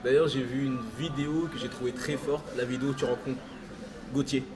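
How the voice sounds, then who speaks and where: A man's voice: medium pitch, steady tone, brisk, music in the background. A man hosting an interview, asking questions and encouraging his guest with short agreeing replies. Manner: encouraging, frequent short backchannels. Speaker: interviewer host. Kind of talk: casual interview conversation.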